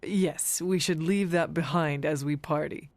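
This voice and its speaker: A woman's voice: low voice, steady tone, measured pace, darkish tone, no echo. A woman playing an earnest, formal warrior from centuries ago in a video game. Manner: formal, stiff, no contractions.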